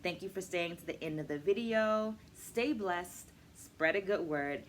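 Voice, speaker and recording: A middle-aged woman hosting a book club vlog, explaining to camera with deliberate, emphatic phrasing. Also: on the low side, slight background noise. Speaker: host of a virtual book club, educator. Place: small furnished room at home, indoors.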